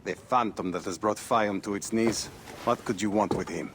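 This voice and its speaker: A man's voice: fairly low pitch, moderate pace, noisy background, natural voice. An actor as a weary, hunted rebel father. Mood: grave, wary. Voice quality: wary and grave. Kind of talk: video game cutscene acting.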